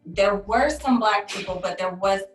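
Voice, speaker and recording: A woman's voice: medium pitch, moderate pace, faint music behind. A woman from Chicago's South Side, a podcast guest and entrepreneur, talking in an easygoing, chatty way. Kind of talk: casual interview chat. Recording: distant, echoey remote call audio.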